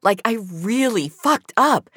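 A woman's voice: a little high, lively intonation, slow pace, a bit bright, breathy edge, dry recording, studio quality, pitched above the performer's own voice, exaggerated voice. Finch, an anxious woman agent played by a narrator. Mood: shaken. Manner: urgent, swearing. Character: Finch, anxious woman dream-deleting agent in sci-fi story.

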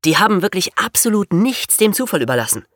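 A man's voice: high voice, very animated, fast talker, a bit bright, dry recording, studio quality. A young man playing an eager, playful podcast co-host who tells crime stories dramatically in a scripted children's show. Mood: dramatic.

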